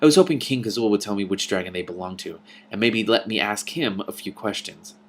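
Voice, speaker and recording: An earnest storybook king of an enchanted forest, read by a man, questioning and easily surprised. The voice is fairly low, with faint noise behind it. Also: fairly quick, lightly acted. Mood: concerned, questioning.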